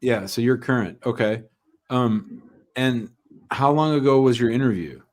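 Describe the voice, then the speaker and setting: A man's voice: mid-range voice, unhurried, a little mellow. Man hosting a call-in advice show. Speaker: immigration show host.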